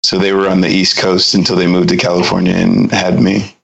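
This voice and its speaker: A man from the United States, a designer, talking through an interview in a measured, unhurried way with frequent fillers. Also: sits low, completely flat delivery, gravelly, rough recording. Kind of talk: casual interview conversation.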